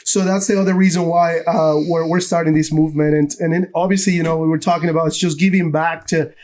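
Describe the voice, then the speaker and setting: A man with a high voice hosts a casual podcast conversation. He comes from Ecuador and talks in an effusive, informal way, turning between his guest and his listeners. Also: fairly even intonation, quick pace, darkish tone. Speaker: podcast host. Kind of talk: enthusiastic casual chat.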